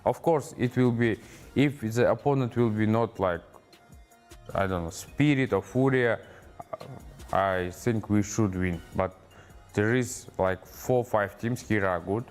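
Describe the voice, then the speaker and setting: A man from Kazakhstan, an esports coach answering interview questions in halting English, with many fillers. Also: on the low side, soft background music. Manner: halting non-native English with many fillers and back-channel mm-hmms.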